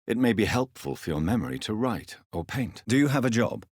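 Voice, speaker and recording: A tormented British gentleman vampire for a video game. His voice is very deep and husky, recorded close and dry. He speaks formally and articulately, with grave, stern intensity. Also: big pitch swings, a little fast, no echo. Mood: courteous, measured. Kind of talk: video game voice acting, scripted dramatic dialogue.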